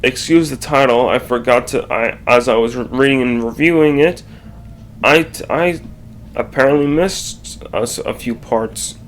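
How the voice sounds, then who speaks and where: A man reading a scripted slide presentation aloud, haltingly, correcting himself and adding asides. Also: mid-range voice, slight background noise, low-quality recording.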